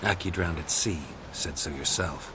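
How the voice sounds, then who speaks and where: A man voicing a gruff, terse monster hunter. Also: low voice, normal speed, noisy background, natural voice. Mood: probing. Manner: terse and dry. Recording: game audio with loud music.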